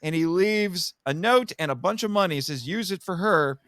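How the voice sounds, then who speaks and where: A man giving an animated, casual plot recap as a podcast guest, telling it dramatically and acting out the characters' lines. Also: sits high, normal speed, no echo, clean studio sound. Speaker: podcast guest reviewing a film.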